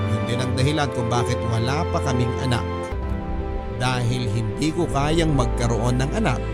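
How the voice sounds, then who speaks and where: A man narrating a first-person love story in a measured, confessional tone. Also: medium pitch. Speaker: drama narrator. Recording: loud music bed under narration.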